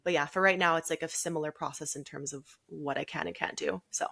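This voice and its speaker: A woman's voice: sits low, fairly even intonation, quick pace, vocal fry, pushed and bright, husky. A woman chatting casually as a podcast guest, telling her own stories in a talkative, self-deprecating way. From Canada. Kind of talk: casual conversational interview.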